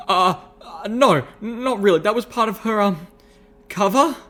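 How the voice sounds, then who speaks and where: Man putting on a hesitant little mare, pitched up. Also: steady tone, fairly quick, lightly acted. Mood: flustered, stammering. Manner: hesitant, stammering, sheepish. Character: small, nervous, awkward young female pony heroine.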